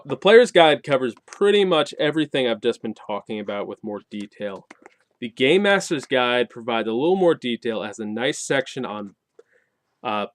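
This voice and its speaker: A man reviewing in a casual, unscripted way, with informal wording and emphatic stress on key points. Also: mid-range voice. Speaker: game review channel host.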